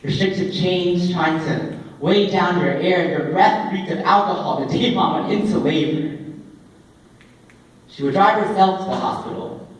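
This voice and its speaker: A young man performing spoken word poetry live, with a dramatic, deliberate delivery and drawn-out phrases. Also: high-pitched. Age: young adult. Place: indoor open mic coffee house event. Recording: echoey live room, distant microphone.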